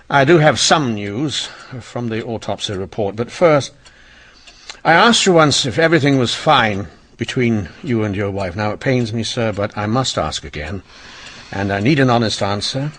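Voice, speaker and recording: A man's voice: mid-range voice, fairly bright tone. A man acting an earnest, inquisitive Victorian police inspector in a radio mystery, musing and polite. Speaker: radio drama actor.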